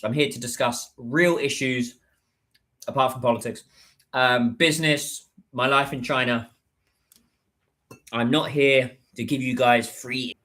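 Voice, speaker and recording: A British man talks loosely to viewers on a livestream, his voice sitting at an ordinary middle pitch. He rambles, restarts sentences and fills gaps with "um" and "like". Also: fairly even intonation, a little slow, pauses often, pushed and bright. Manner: rambling, chatty, many fillers and restarts. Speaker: livestreamer and business content creator. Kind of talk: casual livestream chat.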